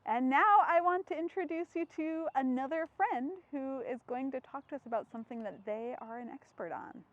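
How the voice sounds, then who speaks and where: A woman hosting an interactive children's storytime, expressive and full of questions for young listeners. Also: high-pitched, fairly quick, lo-fi sound. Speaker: storytime host reading to children.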